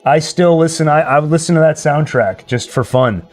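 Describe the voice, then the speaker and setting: A man's voice: on the high side, moderate pace. A man hosting a live stream, chatting casually and enthusiastically about films and reading out viewer comments. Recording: music playing behind the voice. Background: music.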